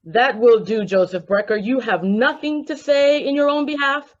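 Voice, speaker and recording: A woman's voice: a little high, natural voice. A woman playing a stern court judge in a radio drama, formal and solemn, on a slightly muffled recording. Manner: formal, solemn, measured authority. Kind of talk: old-time radio drama acting.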